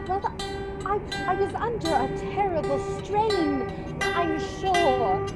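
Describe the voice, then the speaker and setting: A genteel, nervous, evasive widow played by a woman in an old-time radio play. Her high voice moves slowly and haltingly, ladylike, over clear music. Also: animated, heavily acted. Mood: nervous evasion.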